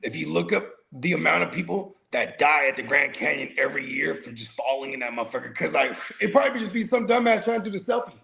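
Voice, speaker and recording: A man in his forties rambles through loose, profane podcast banter and laughs often. His voice sits a little high, with a heavy gravelly fry. His pitch swings widely from line to line. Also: animated, fast talker, few pauses, sharp tone. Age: 40s. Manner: rambling, laughs often, says "you know what I mean". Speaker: podcast co-host.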